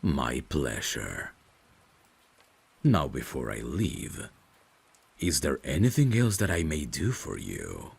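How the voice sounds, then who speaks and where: A man's voice: huge pitch swings, full character voice. A man voicing a courteous, devoted, centuries-old vampire butler in an ASMR roleplay, speaking formally and deferentially. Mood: courteous, attentive. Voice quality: very deep, creaky. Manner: formal, deferential, measured servant's phrasing. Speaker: ASMR voice actor. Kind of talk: ASMR roleplay, dramatic scripted acting.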